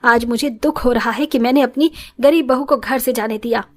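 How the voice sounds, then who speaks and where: A woman voices an older, easily wounded mother-in-law in a cartoon. Her voice is high. She speaks with emotional, scolding indignation. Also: moderate pace, close to an everyday voice. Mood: hurt. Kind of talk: Hindi moral story cartoon acting.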